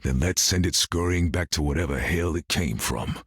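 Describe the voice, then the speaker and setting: An actor playing a gruff, wry half-vampire swordsman in a video game, with a gravelly, terse delivery. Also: normal speed. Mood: dry, tough. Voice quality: gravelly, very deep.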